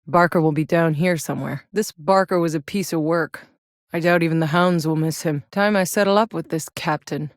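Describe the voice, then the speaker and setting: A battle-hardened female soldier voiced by an actress in a sci-fi game. Her voice sits on the low side and is recorded close and dry. She delivers her lines bluntly, with a wry, sardonic edge. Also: moderate pace, no echo, close to an everyday voice. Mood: grim, determined. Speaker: video game protagonist.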